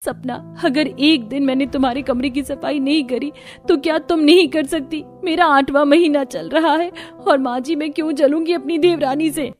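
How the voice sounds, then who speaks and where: A gentle, worried young wife played by a woman in a cartoon drama. The voice is on the high side, with music underneath. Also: steady tone, moderate pace, close to an everyday voice. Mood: indignant.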